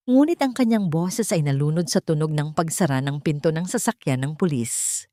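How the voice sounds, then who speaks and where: A woman storyteller giving a measured, dramatic narration of a scripted story. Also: fairly low pitch, darkish tone, dry recording, clean studio sound.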